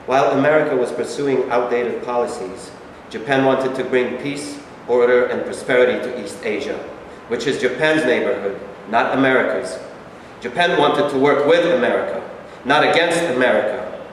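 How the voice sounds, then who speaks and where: In a very echoey hall with faint noise, a man acts a stern, unyielding Japanese wartime patriot. He declaims formally and solemnly in a mid-pitched voice, as in a stage reading. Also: moderate pace, lightly acted. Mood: solemn, accusing. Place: reverberant indoor room at a staged reading.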